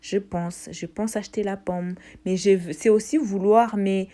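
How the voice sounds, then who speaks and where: Woman, language teacher, slow careful pace, patient repetition. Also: steady tone, warm tone. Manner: repeats Chinese words slowly, then explains in French; drills tones in a high voice. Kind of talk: informal language lesson.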